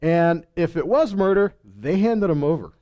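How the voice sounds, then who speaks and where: Male Bible teacher, notably high register, conversational sermon delivery, didactic, asks and answers his own questions. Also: lively intonation, moderate pace, dark tone, highs cut off.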